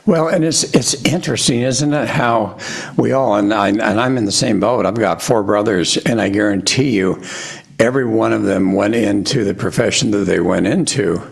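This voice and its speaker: A man's voice: lowish voice, moderate pace, gravelly, slightly rough. A man hosting a podcast, an affirming interviewer who chuckles easily. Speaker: podcast host. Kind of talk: conversational interview.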